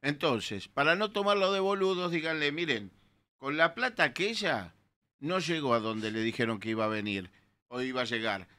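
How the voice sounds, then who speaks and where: A man's voice: a little high, normal speed, pushed and bright. A man in his sixties with a Rioplatense Argentine accent, an emphatic and indignant radio panelist talking into a studio microphone. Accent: Rioplatense Spanish. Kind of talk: opinionated radio talk. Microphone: studio mic with headphones.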